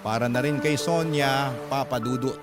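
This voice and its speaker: An actor playing a grieving, remorseful young man who narrates his story in a Filipino radio drama, measured and earnest, with a Filipino accent. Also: mid-range voice, lightly acted. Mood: remorseful, resolved. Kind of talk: scripted first-person drama narration. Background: loud background music.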